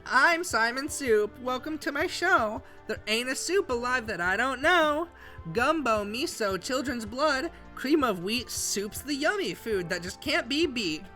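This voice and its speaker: A man's voice: very high-pitched, lively intonation, unhurried, sharp tone, soft background music, pitched above the performer's own voice, exaggerated voice. A man voicing a cheery old animatronic soup mascot who announces his own show in a sing-song showman manner. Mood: jolly.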